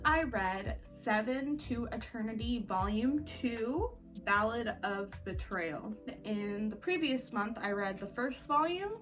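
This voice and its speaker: Woman, mid-pitched voice, chatty book reviewer, informal and slangy, opinionated, slightly distant mic, faint background music. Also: a bit bright.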